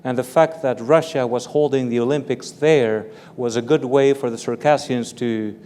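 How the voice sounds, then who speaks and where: A man from Belgium, a native French speaker, answering interview questions as an author and professor, careful and measured, repeating words as he explains. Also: medium pitch, fairly even intonation, dark tone. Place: large hall with live audience. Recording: long hall reverb with faint room noise.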